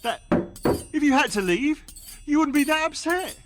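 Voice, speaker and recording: A man playing a defensive captive office worker who pleads innocence and shifts blame haltingly in a radio play. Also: very high voice, lively intonation, background noise.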